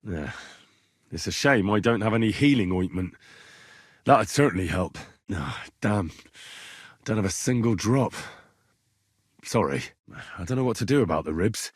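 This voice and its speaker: A courteous young medieval man-at-arms for a video game. His mid-range voice is husky and moves expressively up and down. He speaks plainly and earnestly, deferring to his betters, in a very dry recording. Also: on the low side, lively intonation, measured pace, long pauses, no room sound. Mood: concerned, practical. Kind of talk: video game character dialogue.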